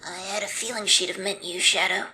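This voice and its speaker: A woman's voice: mid-range voice, fairly even intonation, brisk, crowd noise, close to an everyday voice, low-quality recording. A woman voicing a hesitant, earnest young male fox in a fan audio drama. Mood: uneasy.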